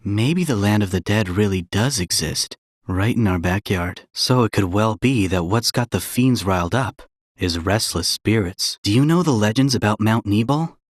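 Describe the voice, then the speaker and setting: A superstitious, folksy villager in a video game. His voice is on the low side and moves about like a storyteller's. The sound is dry and close. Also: lively intonation, moderate pace, no echo. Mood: intrigued, ominous. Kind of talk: video game character dialogue.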